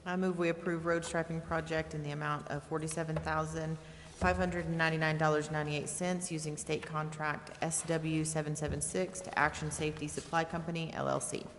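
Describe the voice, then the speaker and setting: A woman's voice: low voice, little pitch movement, fairly quick. A woman formally reading out a motion as a council member at a public meeting. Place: large council chamber. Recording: reverberant hall, faint noise.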